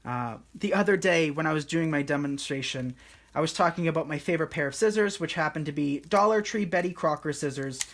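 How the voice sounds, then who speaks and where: A man hosting a live craft tutorial, talking viewers through each step in a soothing, relaxed and unhurried way. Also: a little high.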